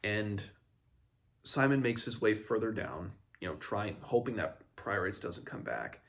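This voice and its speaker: Male host for a fantasy book recap, low creaky voice, casual and halting delivery. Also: animated, a bit bright. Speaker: host of a book read-along. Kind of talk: casual book recap with read-aloud passages.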